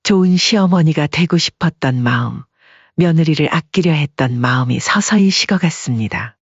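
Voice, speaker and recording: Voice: mid-range voice, animated, moderate pace, breathy edge, no room sound, lo-fi sound. A narrator reading a scripted family story in a storytelling style.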